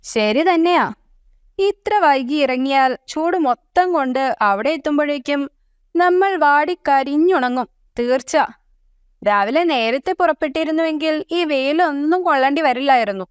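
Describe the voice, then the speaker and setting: A woman's voice: sits high, lively intonation, measured pace, dry recording, clean studio sound. A woman voicing a grumpy, impatient owl in a children's story, complaining emphatically. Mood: annoyed.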